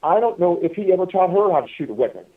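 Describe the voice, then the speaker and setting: A man telling stories in a casual, rambling way, halting and repeating himself as he addresses his listeners over a telephone. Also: high voice, very animated, distant mic. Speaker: podcast guest calling from prison. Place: prison phone call. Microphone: telephone handset. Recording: tinny, band-limited prison phone line.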